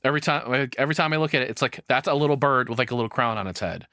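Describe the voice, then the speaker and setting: A man's voice: medium pitch, rapid-fire, sharp tone, dry recording, clean studio sound. A man giving casual reaction commentary as a streamer, rambling along and swearing freely.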